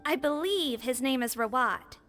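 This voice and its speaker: A woman's voice: high-pitched, moderate pace, fairly bright tone. A woman voicing a polite nonhuman female officer who explains things carefully, from a video game. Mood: informative. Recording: game audio with faint music.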